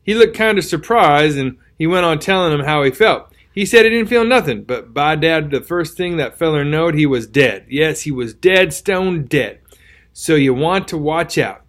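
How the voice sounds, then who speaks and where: A man's voice: fairly high pitch, animated, normal speed, a bit bright. A man doing a rambling wounded country soldier. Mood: warning. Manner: folksy, rambling, garrulous. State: weak from a wound, slurring. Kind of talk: audiobook character reading.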